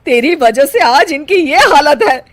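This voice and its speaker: A woman's voice: high-pitched, lively intonation, unhurried, no room sound, voice pushed up. Woman doing a stern, scolding mother-in-law. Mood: angry. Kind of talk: cartoon story voice acting.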